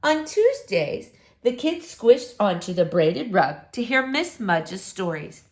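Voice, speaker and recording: A woman narrates a children's storybook with dramatic, deliberate phrasing. Her pitch moves around a lot and her pace is unhurried. The room adds a noticeable echo. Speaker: storybook reader. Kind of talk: expressive children's read-aloud narration. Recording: roomy, echoing room sound.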